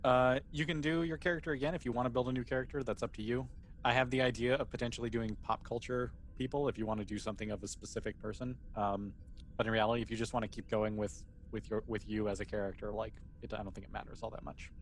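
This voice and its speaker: A man's voice: medium pitch, little pitch movement, fast, slight background noise. A man running a tabletop role-playing game as game master in a casual, conversational way.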